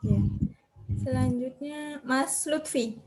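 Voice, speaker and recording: High-voiced woman instructor on a video call. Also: a little mellow. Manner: brisk, calls names one by one. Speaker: course instructor. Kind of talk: online class roll call.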